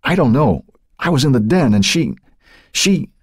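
A man voicing a frightened but protective teenage boy in an audiobook, with a hushed, whispery, faltering delivery. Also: mid-range voice, very animated, fairly quick, no room sound, clean studio sound, voice pushed up. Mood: shaken. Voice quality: breathy, whispery. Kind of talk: audiobook character acting.

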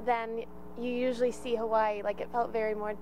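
A woman's voice: on the high side, flat delivery, fairly quick, a little mellow, faint music behind, poor audio. A young woman reporter asking questions outdoors on a handheld mic, friendly and laughing along. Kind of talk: interview questions. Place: outdoors by a hedge of plants.

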